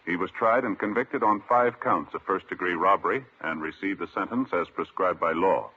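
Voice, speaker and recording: A man's voice: low voice, normal speed, bright, forceful tone, breathy edge, full character voice. A man as a solemn, authoritative American announcer in a formal old-time radio crime program. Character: solemn, authoritative male announcer reading court results. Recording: dull old radio recording, faint noise.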